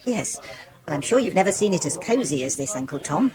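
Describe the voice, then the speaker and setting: An actress playing a brisk, opinionated, house-proud older village aunt with an English accent in a radio soap. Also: female voice, fairly low pitch, big pitch swings, a crowd in the background. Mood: brisk, sociable.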